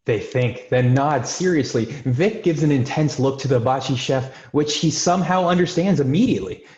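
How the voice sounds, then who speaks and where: A man's voice: medium pitch, moderate pace, a little mellow. A man hosting a table read, giving scripted introductions and reading screenplay stage directions. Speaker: host and stage-direction narrator. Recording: roomy, dull lo-fi sound.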